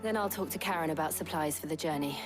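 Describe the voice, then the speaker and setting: An actress playing a calm, pragmatic young warrior in a video game, with a British accent and earnest, measured speech. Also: female voice, deep voice, over music, natural voice. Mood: sober concern. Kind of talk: video game cutscene acting.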